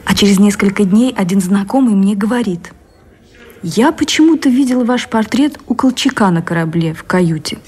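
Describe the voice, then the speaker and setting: A woman's voice: mid-range voice, expressive, moderate pace, warm tone, breathy edge. An actress playing a devoted, romantic woman in a radio drama, reminiscing tenderly and wistfully. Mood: fond, animated. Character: Anna, devoted romantic woman reminiscing with her beloved admiral. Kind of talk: Russian radio drama acting. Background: music.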